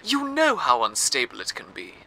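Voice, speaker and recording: A man's voice: mid-range voice, huge pitch swings, moderate pace, bright, forceful tone, heavily acted, low-quality recording. An actor playing a cheery male radio host in a rusting city, announcing news in a chatty, theatrical way with playful asides. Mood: cheerful. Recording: clear music bed underneath.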